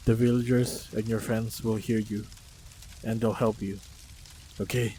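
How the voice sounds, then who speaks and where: A man with a fairly low voice plays a calm, protective hunter in an audio roleplay. His delivery is slow and composed, with little melodic movement. Faint ambience runs behind him. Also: a little hiss or hum, poor audio. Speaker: voice actor playing a monster hunter. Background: faint sound-effect ambience.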